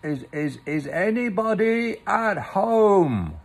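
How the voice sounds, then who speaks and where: A man voicing a friendly, slow-witted storybook bear who speaks ponderously and politely and hums to himself. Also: high voice, big pitch swings, creaky, husky, pitched above the performer's own voice, heavily acted. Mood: calling, puzzled. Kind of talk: storybook character voice.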